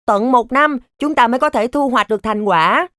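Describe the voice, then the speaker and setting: A woman's voice: a little high, normal speed, fairly bright tone, dry recording, clean studio sound, lightly acted. A woman playing a polite, curious child in a children's cartoon, speaking articulately. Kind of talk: scripted children's cartoon acting.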